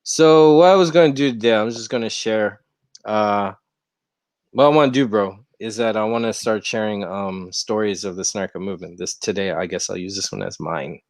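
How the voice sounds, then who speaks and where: A man's voice: fairly low pitch, moderate pace, dry recording. A young American man hosting a casual livestream, rambling along in an easygoing, slangy way. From United States. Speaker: livestream host. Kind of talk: casual chat. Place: rented room at home.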